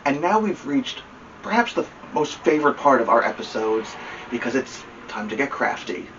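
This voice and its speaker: A man hosting a story time, reading a children's book aloud in an expressive way and chatting to his listener. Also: medium pitch, normal speed. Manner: expressive, talks to listener between story lines. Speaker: storytime host. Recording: distant mic, dull lo-fi sound, faint noise.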